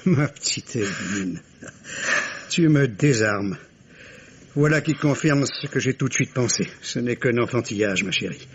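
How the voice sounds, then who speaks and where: An actor plays a middle-aged father in an old French radio mystery. He has a medium-pitched voice with a condescending, theatrical and irritable delivery. There is clear noise on the vintage recording. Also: expressive, a little slow, highs cut off, close to an everyday voice. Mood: patronising.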